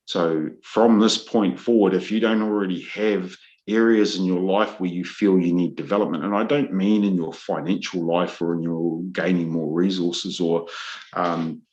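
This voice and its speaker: Casting: middle-aged New Zealand man, very low voice, earnest mentor tone, halting with fillers. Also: fairly even intonation, a little mellow, lo-fi sound. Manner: earnest, searching for words with many ums and uhs. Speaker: guest, men's leadership mentor. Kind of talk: podcast interview.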